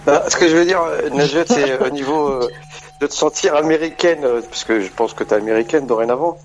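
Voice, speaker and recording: A man's voice: fairly high pitch, a little fast, over music, rough recording. A man in his forties calling into a radio show, hesitantly searching for his words as he asks a question. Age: 40s. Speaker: radio caller. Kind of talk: call-in radio chat.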